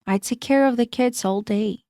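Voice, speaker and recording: A woman's voice: fairly high pitch, moderate pace, dark tone, no room sound, studio quality, close to an everyday voice. A woman reading the lines of a polite, well-read married man in a storybook narration, with little change from her own voice. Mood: explaining.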